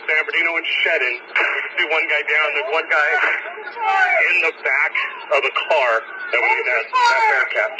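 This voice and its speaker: A man's voice: a little high, very animated, moderate pace. An American man, a police officer, passing field traffic over a police radio. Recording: very noisy police scanner radio audio.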